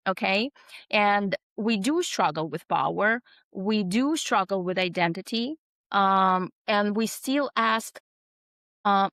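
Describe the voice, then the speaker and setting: A woman's voice: medium pitch, a bit bright, dry recording. A middle-aged woman, answering interview questions thoughtfully, with many fillers and tag questions like "right?" and "okay?". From Russia. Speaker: author guest.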